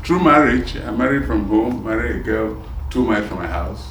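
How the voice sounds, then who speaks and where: A man's voice: lowish voice, animated, normal speed, roomy sound, noisy background. A man in his seventies from Nigeria, with a mixed Nigerian and American accent, telling his story in a rambling way with many restarts. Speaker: interviewee, former prison officer. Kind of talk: casual street interview.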